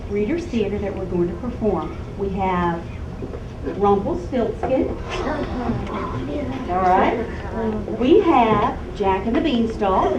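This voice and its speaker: A woman's voice: medium pitch, highs cut off. A woman teacher instructing young children in a school library, projecting her voice across the room and often checking in with questions. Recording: echoey, noisy room, distant microphone.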